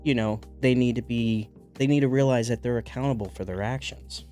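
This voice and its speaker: A man in his forties hosting a podcast, talking casually and thoughtfully, often pausing to find his words. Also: mid-range voice, steady tone, warm tone, faint music behind. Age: 40s. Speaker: podcast host. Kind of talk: casual conversation.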